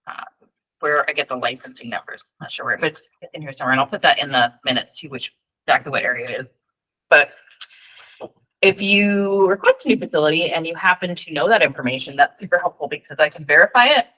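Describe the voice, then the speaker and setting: Woman staff presenter on a video call, bright forceful tone, casual and chatty, lots of ums while walking through her screen. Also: low voice, poor audio. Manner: chatty and informal, frequent ums, friendly asides. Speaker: data team presenter at a state EMS registry meeting. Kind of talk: virtual meeting presentation with screen share.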